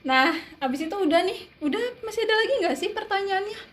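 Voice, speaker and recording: A woman's voice: very high-pitched, expressive, fast. A young woman chats informally as a student radio co-host, speaking straight to listeners and repeating her phrases. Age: young adult. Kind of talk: casual chat. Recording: clear background noise, room ambience.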